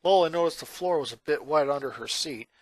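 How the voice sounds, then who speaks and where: A narrator reading a scripted story aloud in an expressive, dramatic storytelling manner. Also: medium pitch, a little fast, dry recording.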